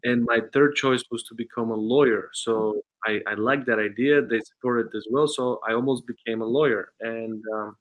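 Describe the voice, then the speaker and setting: A man in his thirties from Bulgaria with a Bulgarian accent, a guest telling his story in a casual interview, earnest and often pausing to search for words. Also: medium pitch, phone-quality sound. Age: 30s. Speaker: guest, sales trainer and consultant.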